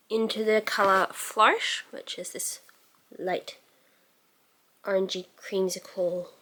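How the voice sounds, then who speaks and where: Slow-paced woman chatting through a makeup tutorial. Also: medium pitch, a bit bright. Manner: musing aloud while working, sentences trailing off. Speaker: beauty vlogger giving a makeup tutorial. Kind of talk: casual makeup tutorial chat.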